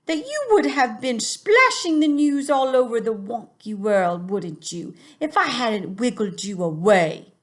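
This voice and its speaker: A woman's voice: big pitch swings, unhurried, hardly any pauses. A woman reading a storybook aloud and voicing a kindly male giant with a funny put-on English accent and jumbled grammar. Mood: insistent. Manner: booming, mangled grammar. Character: huge kindly male giant, garbled words.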